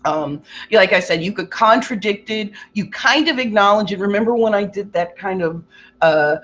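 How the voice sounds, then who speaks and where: A woman with a loud, carrying voice giving a rambling, casual self-help talk as a Reiki practitioner, acting out quotes with big exaggerated jumps in pitch. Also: moderate pace, lo-fi sound. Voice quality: loud voice that carries. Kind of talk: casual motivational talk to viewers.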